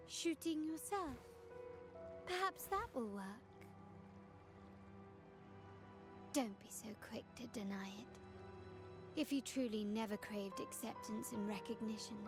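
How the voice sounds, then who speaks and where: An actress playing a poised, mocking doppelganger of a proud noblewoman in a video game, speaking in a measured, theatrical way. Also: on the high side. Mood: taunting.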